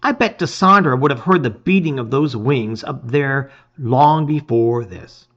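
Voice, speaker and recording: A man's voice: mid-range voice, measured pace, fairly bright tone, highs cut off. A man voicing a keen young male adventurer in a storybook. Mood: thoughtful.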